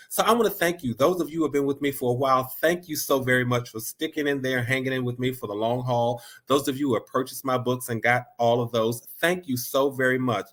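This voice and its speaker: A man with a stuffy, congested voice leads a live motivational stream at a mid pitch. He sounds upbeat and encouraging, and he leans on repeated phrases to drive his points home. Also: fairly bright tone. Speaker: motivational channel host.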